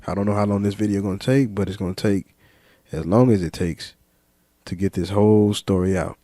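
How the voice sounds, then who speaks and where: A man talking freely to his viewers in a reflective monologue, slow and deliberate, listing ideas one word at a time with some fillers and repeats. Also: fairly low pitch, steady tone, warm tone, no echo, clean studio sound. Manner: lists ideas in measured single words. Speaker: vlogger.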